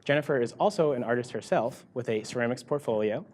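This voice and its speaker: A man's voice: medium pitch, fast talker, a little mellow. An American man, a co-host, giving a scripted introduction in a presenter's way. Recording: faint background noise, room ambience.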